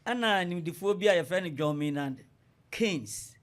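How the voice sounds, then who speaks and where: A man's voice: a little high, lively intonation, normal speed. A man with a Ghanaian accent explaining things step by step, in an emphatic lecturer's manner, as an interview guest on radio. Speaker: economics lecturer, studio guest.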